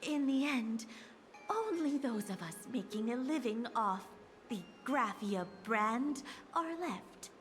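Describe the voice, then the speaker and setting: A woman's voice: on the high side, expressive, slow. A woman voicing a regal painted goddess in a video game, with grandiose, formal delivery. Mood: resigned. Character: stately painted goddess, female, regal and self-proclaimed. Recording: music behind the voice.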